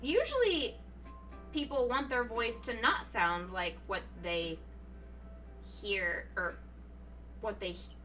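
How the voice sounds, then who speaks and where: A young woman streamer with a bright, sharp, higher voice chats playfully from home, her words jumping up into squeaky surprise now and then. She has the hiccups. She sits a bit back from the mic, with soft music playing underneath. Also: animated, slow, pauses often, recorded from a distance. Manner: chatty and playful, swings into high surprised exclamations. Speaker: Twitch streamer. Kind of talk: casual live-stream chat, reading chat messages. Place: home room. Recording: voice a little off-mic, music behind.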